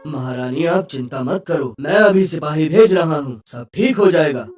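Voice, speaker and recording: A man's voice: fairly high pitch, expressive, normal speed, darkish tone, close to an everyday voice. Guy doing a caring worried king for a cartoon, little music behind, kinda far away. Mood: reassuring. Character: concerned, reassuring king, adult husband of a queen. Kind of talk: Hindi cartoon story dialogue. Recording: distant sound with faint music.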